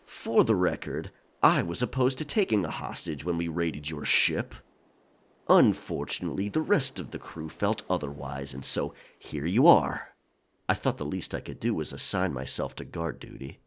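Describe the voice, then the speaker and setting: An actor playing a stern pirate quartermaster in a historical romance audio drama, brusque, controlled and threatening, yet reasonable. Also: male voice, low-pitched, sing-song, moderate pace, fairly bright tone, full character voice. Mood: matter-of-fact.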